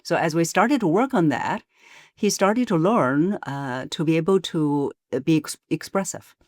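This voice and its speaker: A woman voice coach, a podcast guest, explaining in a measured way with frequent pauses and hesitations. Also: low-pitched, darkish tone, no echo, clean studio sound. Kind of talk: podcast interview.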